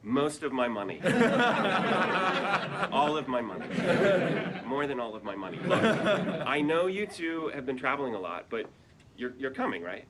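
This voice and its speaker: A man's voice: mid-range voice, very animated, normal speed, pauses often, natural voice. An actor playing an earnest, lovestruck man in his thirties, eager and sheepish, in a sitcom table read. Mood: sheepish, chatty. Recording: noisy live room recording.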